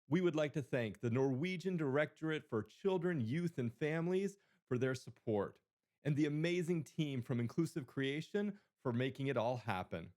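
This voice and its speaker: A male podcast host with a dark, mellow tone, moving from a read introduction to friendly, encouraging questions and brief affirmations. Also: mid-range voice.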